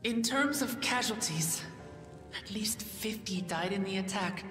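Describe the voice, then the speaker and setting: An actress playing an earnest young female ruler in a video game cutscene, speaking in a measured, formal and resolute way. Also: medium pitch, animated, a bit bright. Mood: composed, formal. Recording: orchestral music behind the voice.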